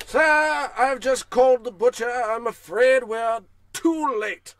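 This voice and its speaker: A man's voice: very high-pitched, takes it slowly, a bit bright, dry recording, full character voice. A man playing a formal, deferential butler in an old-time radio comedy. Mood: grave, apologetic. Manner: formal, servile.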